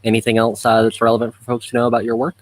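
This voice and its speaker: A man hosting a relaxed conversational show, speaking in a halting, thoughtful way. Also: medium pitch. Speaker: host. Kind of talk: casual chat.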